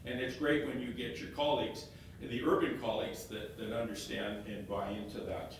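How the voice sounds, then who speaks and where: A man, an elected councillor presenting slides to a meeting, halting and often repeating his words as he restarts phrases. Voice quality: loud voice. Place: meeting room, stepping back from a fixed mic. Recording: very echoey room, heard from a distance, faint rumble.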